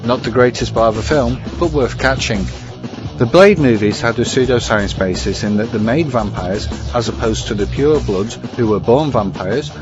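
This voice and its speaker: A man presenting a scripted review segment in measured, formal phrasing. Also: medium pitch. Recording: muffled sound under prominent music. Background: music.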